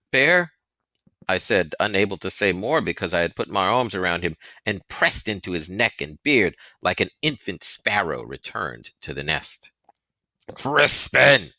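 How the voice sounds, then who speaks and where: A man's voice: deep voice, animated, normal speed, hardly any pauses, bright, forceful tone, no echo. A man reading a novel aloud as a dramatic, scripted narration. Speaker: audiobook reader.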